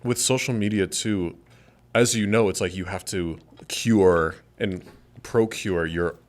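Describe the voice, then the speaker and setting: A man talking as a podcast guest in rambling, animated casual chat full of fillers. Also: sits low, measured pace.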